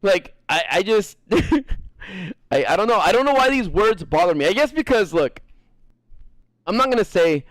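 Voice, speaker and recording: An American man rambling to his stream audience in an animated, profane way, his high voice swinging up and down. The sound is close and dry, with no room around it. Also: dry recording. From United States. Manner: animated, profane, laughs often. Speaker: gaming streamer. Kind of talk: casual chat while gaming.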